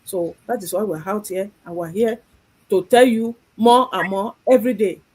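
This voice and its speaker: A husky woman from Nigeria hosts a live stream. She speaks earnestly and circles back over her points. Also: mid-range voice, normal speed, dark tone, low-quality recording. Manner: earnest, repeats herself. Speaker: host.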